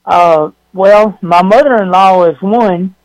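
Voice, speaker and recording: A man calling in to a radio show, talking sincerely in a halting way with many fillers. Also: high voice, no room sound, low-quality recording. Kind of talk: casual phone-in talk. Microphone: phone line.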